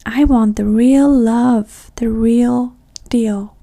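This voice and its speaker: Woman, ASMR roleplay, mellow high voice, breathy half-whisper, vain flirty dater, sassy and condescending. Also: fairly even intonation, very slow. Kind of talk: ASMR roleplay, soft-spoken and often whispered.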